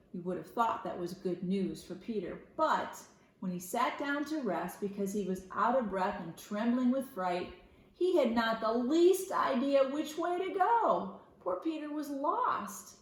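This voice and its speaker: A woman reads a picture book aloud for young children in an expressive storytelling style. Her phrasing is deliberate, with simple asides to explain the tale. The room rings with a clear echo around her voice. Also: fairly high pitch. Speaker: school media specialist reading aloud to children. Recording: echoey room.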